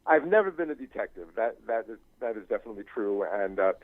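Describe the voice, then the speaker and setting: An older man speaking as an interview guest in a reflective, conversational way, haltingly searching for his words. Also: fairly low pitch, expressive, crowd noise. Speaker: interview guest, novelist and screenwriting teacher. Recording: thin phone-like line.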